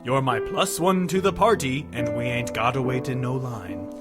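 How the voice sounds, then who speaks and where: A man's voice: medium pitch, moderate pace, loud music behind the voice, lightly acted. A man giving a mock dramatic reading of song lyrics as a swaggering, flirtatious partier, theatrical and emphatic. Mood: swaggering, seductive.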